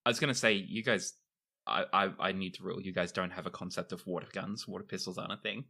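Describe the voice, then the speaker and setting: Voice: low-pitched, fairly even intonation, quick pace, pushed and bright, breathy edge. A game master bantering and narrating in an improvised tabletop role-playing session.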